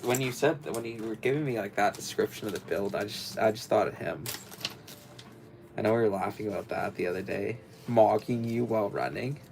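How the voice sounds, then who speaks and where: A young man with a fairly low voice and a strong gravelly fry talks to his livestream audience. He rambles, trails off and restarts often, filling gaps with ums and likes. A faint background noise sits under his voice. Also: a little fast, darkish tone, rough recording. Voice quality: deep, gravelly voice. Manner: rambling, hesitant, many fillers and restarts. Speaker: livestream host. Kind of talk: casual livestream chat while building.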